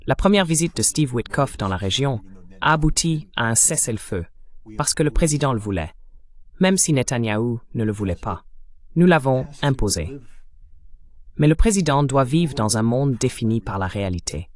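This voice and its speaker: A man's voice: medium pitch, expressive, moderate pace. A man delivering dubbed political commentary as the translated voice of an interview guest.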